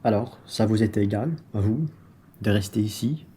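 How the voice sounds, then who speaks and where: A man's voice: on the low side, moderate pace, warm tone, breathy edge. A man playing a grumbling, practical stranded traveller in an amateur radio play, with plain-spoken delivery. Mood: exasperated.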